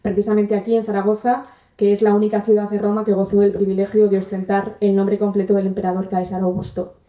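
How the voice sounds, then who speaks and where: A woman from presenting a new foundation in a formal, measured speech at a theater venue. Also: medium pitch, level tone. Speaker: event presenter. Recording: echoey, distant, lo-fi room mic.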